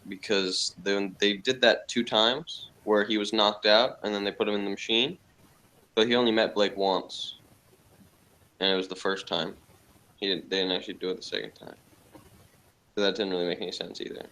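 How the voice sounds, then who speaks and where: A man's voice: lowish voice, a bit bright. A man giving a blunt, dismissive review in casual conversation. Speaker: podcast reviewer. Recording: clearly noisy background.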